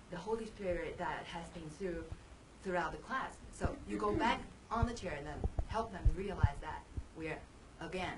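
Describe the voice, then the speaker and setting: A soft-spoken woman shares how she runs her children's class, her pitch sitting a touch low. She often restarts her phrases. She sounds set back from the mic, with clear background noise. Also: recorded from a distance. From Taiwan. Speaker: children's Bible class teacher. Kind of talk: casual group discussion.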